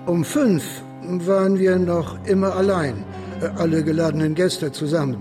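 A man playing a halting, plain-spoken coachman in a German radio drama. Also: sits high, steady tone, over music, natural voice. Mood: resigned, uneasy.

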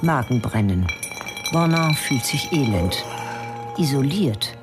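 A woman's voice: extremely low pitch, expressive, takes it slowly. Terse woman narrating a radio drama. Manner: terse, clipped, matter-of-fact. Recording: studio radio play with music.

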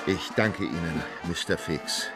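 An actor in a German audio drama playing a proper English gentleman with precise, formal, courteous speech. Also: deep voice, a little slow, music in the background. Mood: composed.

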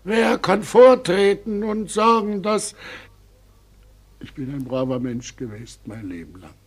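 Husky, broken old weaver. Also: male voice, sits high, expressive. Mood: anguished outcry. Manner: halting, emotional, murmurs and cries out. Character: wretched, worn-out old weaver, bitter and aggrieved. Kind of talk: German radio drama acting.

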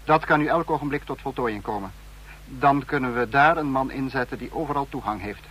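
Brief: a calculating state security chief, measured and brooding, mid pitch with a breathy edge. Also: male voice, fairly bright tone. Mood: cold, brooding. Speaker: spymaster in a radio play. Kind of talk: vintage radio drama acting.

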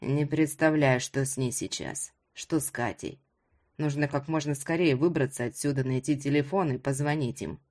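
Woman playing an impatient, proud man, pitched low. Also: normal speed, darkish tone. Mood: anxious, irritated. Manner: demanding, sardonic. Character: impatient, proud, wealthy stranded man demanding his way out.

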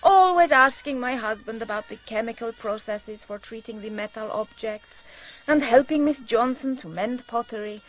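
Woman acting evasive wife, highish voice, gushing, nervy, insistent, radio play, faint music behind. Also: moderate pace, lightly acted, poor audio.

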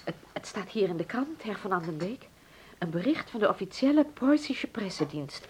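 An actress playing a gentle, sorrowful married German lady in a radio drama, speaking formally and thoughtfully, with some hesitation. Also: medium pitch, big pitch swings, normal speed, slight background noise, close to an everyday voice. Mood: troubled.

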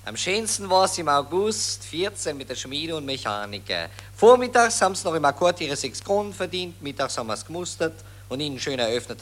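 A smug, harsh Viennese officer in a radio play, drawling and boasting. His voice is bright and lively, rising and falling freely as he brags. The tone stays cocky throughout. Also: fairly high pitch, exaggerated voice.

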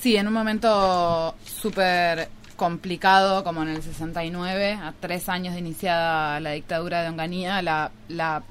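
A woman co-hosts a radio talk show in a fairly low voice. She speaks earnestly and often repeats words as she gathers her thoughts, with a faint hiss underneath. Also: fairly even intonation, moderate pace, few pauses. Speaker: radio co-host. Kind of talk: casual radio chat.

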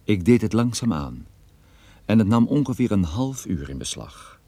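An actor playing a private detective who narrates his own case in a measured first-person radio drama style. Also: on the low side, big pitch swings, mellow, slightly rough, no room sound, full character voice. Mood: measured, recounting. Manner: measured, recounting.

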